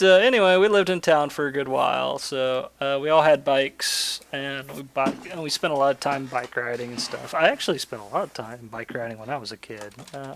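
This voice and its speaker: A man chatting and telling stories casually as he hosts a gaming video, rambling and swearing freely. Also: medium pitch. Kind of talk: casual chat and storytelling over gameplay. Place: indoors, voice-over for screen-recorded gameplay.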